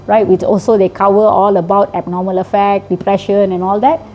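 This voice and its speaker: Malaysian English woman, middle-aged teacher; mellow, explanatory, keeps checking in with "right?"; faint noise behind. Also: mid-range voice, steady tone, a little fast. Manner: explanatory, often ends with a tag question. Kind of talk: interview answers.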